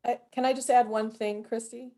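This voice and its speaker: A woman's voice: fairly high pitch, flat delivery, moderate pace, dark tone. A woman on a panel speaking in a thoughtful way, hedging with fillers as she talks. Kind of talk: panel discussion.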